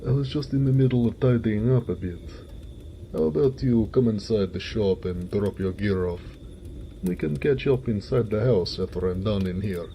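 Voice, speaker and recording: A man playing a big, warm-hearted, jovial orc blacksmith in an affectionate, folksy, one-sided romantic audio roleplay. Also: on the low side, moderate pace, creaky, slight background noise. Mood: proud, relaxed.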